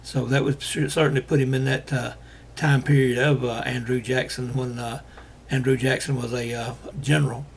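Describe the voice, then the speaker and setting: An older man from Alabama, hosting a casual monologue to viewers, talking in a halting way with many fillers while he is losing his voice. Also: mid-range voice, level tone, gravelly, a little hiss or hum. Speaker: host.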